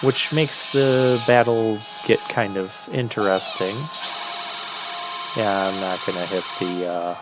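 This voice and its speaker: A man's voice: lowish voice, steady tone, slow pace, rough recording. A man giving casual commentary as he plays through a video game. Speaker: video game playthrough host. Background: video game music.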